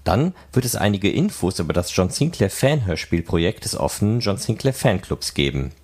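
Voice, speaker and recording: A man's voice: low voice, big pitch swings, normal speed, darkish tone, husky. A man presenting and reporting as the host of a fan podcast. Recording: noisy, ambient background sound.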